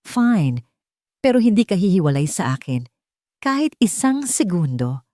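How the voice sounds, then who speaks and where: A woman's voice: on the low side, sing-song, unhurried, mellow, dry recording. Woman doing a bossy protective billionaire guy, filipino accent, short firm lines, romance audiobook, dry recording. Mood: resigned, firm. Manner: firm, terse, commanding. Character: stern, protective billionaire CEO man.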